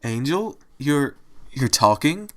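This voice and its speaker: A man's voice: on the high side, expressive, fairly quick, bright, forceful tone. A man voicing a timid, anxious female pony turned little rabbit in a storybook, hesitant and stammering. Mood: bewildered.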